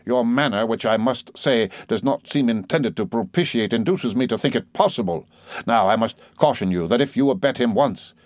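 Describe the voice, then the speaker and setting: A man's voice: mid-range voice, dry recording, voice pushed up, natural voice. Stern, cold middle-aged man, read by a male narrator, formal and unyielding.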